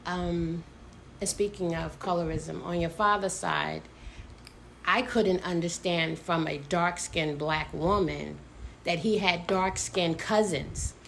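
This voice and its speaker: Middle-aged woman, low and gravelly, measured conversational delivery. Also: animated, a bit bright, a little hiss or hum. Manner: measured, hesitant with fillers. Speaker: mother. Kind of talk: casual conversation.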